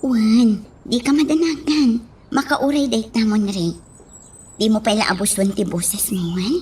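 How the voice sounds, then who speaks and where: Lady playing caring patient radio drama gal, highish voice, faint noise behind. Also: fairly even intonation, a little slow, darkish tone, close to an everyday voice. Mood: soothing. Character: caring, patient, reassuring woman companion. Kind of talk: Ilocano radio drama acting.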